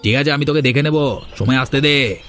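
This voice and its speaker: A man's voice: medium pitch, fairly quick, fairly bright tone, soft background music, lightly acted. A man voicing a scheming village council bully, mocking and threatening, in a cartoon. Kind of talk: Bengali moral-story cartoon dubbing.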